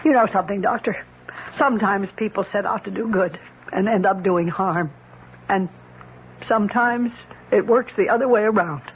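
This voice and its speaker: A woman's voice: mid-range voice, normal speed, natural voice. A woman acting an anguished, defiant wife in a 1970s radio drama. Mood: reflective. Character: anguished, defiant married woman refusing to accuse her swindlers. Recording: muffled vintage radio broadcast, faint hiss.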